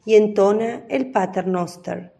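A woman giving scripted narration for a radio drama in a solemn, measured manner. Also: medium pitch, creaky, mellow.

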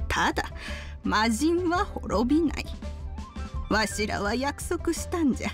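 A kindly old grandmother from a video game. She speaks very slowly in a lightly high, aged voice with archaic turns of phrase. She sounds wise and nostalgic, with soft music underneath. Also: expressive. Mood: grave, knowing. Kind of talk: video game character dialogue. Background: background music.

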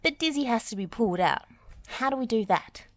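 A capable woman builder from a children's storybook, acted by a female reader. She is brisk, kindly and firm, her voice lifted and expressive. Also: fairly high pitch, no room sound, pitched above the performer's own voice, lightly acted. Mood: resolute.

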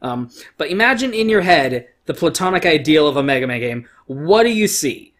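A man's voice: a little high, big pitch swings, normal speed, fairly bright tone. Opinionated, sweary guy doing game commentary. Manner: opinionated, emphatic, swears freely. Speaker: let's play host. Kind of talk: casual, profane gameplay commentary.

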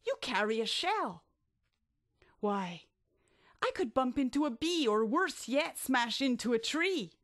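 Woman playing a high, anxious shy boy butterfly. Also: sing-song, slow pace, pauses often, full character voice. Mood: anxious protest. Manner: fretful, protesting. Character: very shy, anxious, self-conscious male butterfly.

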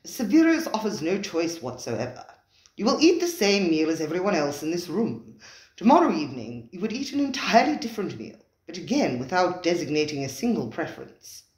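Storybook gentleman gourmet, voiced by a narrator: earnest, effusive, formal, lively intonation, roomy sound. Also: medium pitch, fairly quick, natural voice. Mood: earnest, persuasive. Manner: formal, persuasive, effusive speech. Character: eager, solemn male gourmet devoted to a restaurant.

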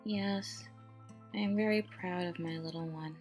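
A woman playing a deadpan but loving pony mother in an animated series, speaking formally. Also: on the low side, unhurried, lightly acted. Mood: fond, subdued. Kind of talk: animated fan series voice acting. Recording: music behind the voice.

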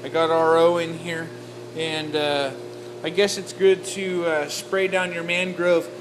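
A man's voice: fairly high pitch, level tone, a little slow. A man filming a casual walkthrough of his home reef aquarium setup, rambling with lots of filler words as he talks to the camera. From Oregon. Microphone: handheld camera, off-screen. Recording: camera mic with noise.

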